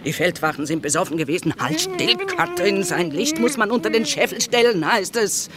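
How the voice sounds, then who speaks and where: A woman's voice: medium pitch, very animated, moderate pace, a crowd in the background, lightly acted. An actress playing a shrewd, blunt middle-aged mother in a war, earthy and sardonic, in a theatrical radio drama style. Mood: urgent, bossy.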